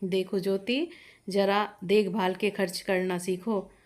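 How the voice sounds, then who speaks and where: A woman's voice: medium pitch, fairly even intonation, unhurried, voice pushed down, natural voice. A woman voicing a practical young husband in a moral story, explaining and remonstrating. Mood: exasperated. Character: young husband, practical, reasoning, budget-minded.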